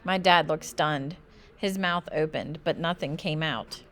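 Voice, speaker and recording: A woman reading a children's book aloud as an expressive narrator. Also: low voice, measured pace, slight background noise. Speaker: storybook reader.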